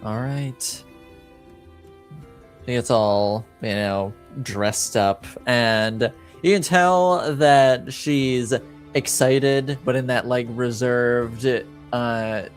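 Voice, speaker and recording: A man improvising narration as a game master, hesitant and pausing, with a deadpan manner. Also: mid-range voice, faint music behind.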